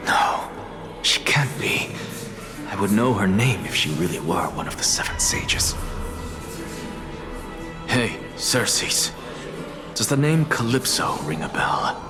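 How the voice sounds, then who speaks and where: A defiant scholar in a dramatic video game, deep and unhurried. He muses to himself with sardonic curiosity while music plays clearly behind him. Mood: puzzled, determined. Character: defiant, curious scholar man journeying through land of the dead. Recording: game dialogue over prominent music.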